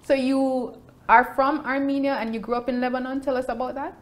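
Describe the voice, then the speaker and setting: A woman's voice: sits high, little pitch movement, fairly quick. A young adult woman hosting an interview show, speaking in an upbeat, emphatic way. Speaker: host. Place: indoor room with shelves.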